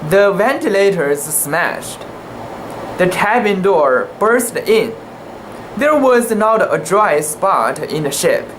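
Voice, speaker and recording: Slow, careful amateur reader, faint background hum. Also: medium pitch, expressive, a bit bright. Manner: halting, careful reading with imperfect pronunciation. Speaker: amateur narrator. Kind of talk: dramatic reading of a literary sea story.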